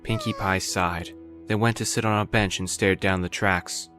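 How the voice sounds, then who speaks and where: Very deep-voiced woman narrator. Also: steady tone, moderate pace, fairly bright tone, faint music behind. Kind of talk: storybook narration of a fan story.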